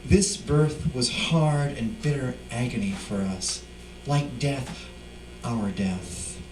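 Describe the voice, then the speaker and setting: Echoey, unhurried man reading poetry. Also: mid-range voice. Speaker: poet reading at a live event. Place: indoor live reading venue. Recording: distant mic, echoey room, faint noise.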